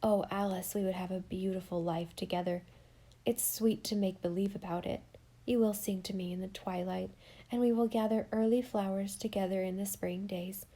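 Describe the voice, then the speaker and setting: A shy, awkward older man pours out his heart, pleading and impassioned, voiced by a woman reading aloud. The voice is mellow and warm, at an ordinary middle pitch. It is a storybook reading, not a full character voice. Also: steady tone, moderate pace, lightly acted. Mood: tender, dreamy.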